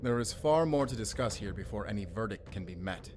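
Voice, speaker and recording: A man's voice: on the low side, lively intonation, a little fast, a little mellow, soft background music, close to an everyday voice. A man playing a shrewd, fair-minded councillor in a fantasy audio drama, reasoned and wry. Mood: firm.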